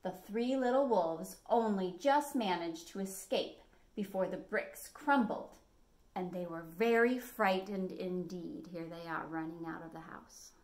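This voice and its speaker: A middle-aged woman hosting storytime, reading a picture book to children with animated, dramatic emphasis. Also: lowish voice, measured pace. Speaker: library storytime host. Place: living room at home, indoors.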